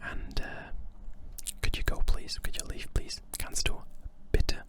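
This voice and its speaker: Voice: slow, a bit bright. Young whisperer, informal ASMR language tutor, rambling and carefully repeating words. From Germany. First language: German. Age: young adult. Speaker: language teacher.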